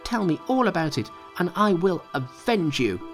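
Storybook monkey king, brash and boastful, high and lively, read by a man. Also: normal speed, soft background music. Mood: eager. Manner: boastful, taunting. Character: cocky male monkey king, small and brash. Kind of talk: storybook reading.

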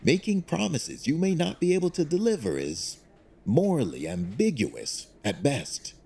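An actor playing a shrewd, fatherly older executive and loyal advisor in an audio drama, wry and deliberate. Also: a little high, huge pitch swings, gravelly, a little mellow, husky, exaggerated voice. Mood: uneasy.

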